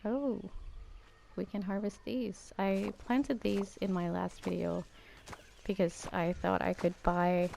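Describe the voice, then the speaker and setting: Chatty Danish woman with vocal fry. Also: mid-range voice, little pitch movement, takes it slowly, warm tone, slight background noise. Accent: Americanized English. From Denmark. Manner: chatty, rambling, Valley Girl YouTube tone. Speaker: gaming YouTuber. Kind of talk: casual gameplay commentary.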